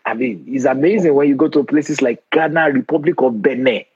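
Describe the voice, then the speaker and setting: A man in his forties with a Nigerian accent hosts an interview, speaking earnestly and at a measured pace, often restarting his sentences. His voice is a bit husky and sits in a middle register. The recording is dry and slightly muffled, as if lo-fi. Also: mid-range voice, darkish tone, no room sound. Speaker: host. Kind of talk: conversational interview.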